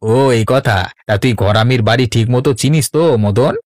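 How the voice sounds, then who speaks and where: A man's voice: mid-range voice, moderate pace, no echo, clean studio sound, close to an everyday voice. A man voicing a boastful, wily village swindler with a cocky, coaxing manner in a cartoon. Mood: sly coaxing. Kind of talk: Bengali cartoon voice acting.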